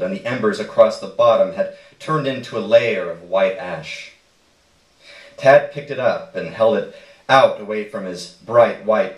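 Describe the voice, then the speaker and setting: A man reading a literary short story aloud at a live stage event. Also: on the low side. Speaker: story reader. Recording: echoey room, distant microphone.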